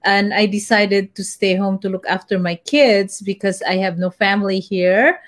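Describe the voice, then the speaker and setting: A woman's voice: medium pitch, steady tone, normal speed. Chatty woman guest from the Philippines. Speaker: guest, business owner. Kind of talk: casual live video chat. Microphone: video call.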